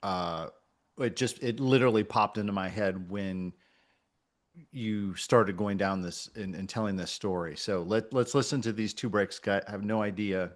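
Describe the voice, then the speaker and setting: Male coach, lowish voice, thoughtful and encouraging, conversational feedback with pauses. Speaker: radio coach.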